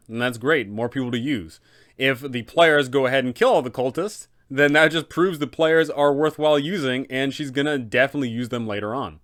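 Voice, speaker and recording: A man's voice: mid-range voice, fairly bright tone, clean tone. A man talking as an informal game master host, explaining things in a conversational, instructional way.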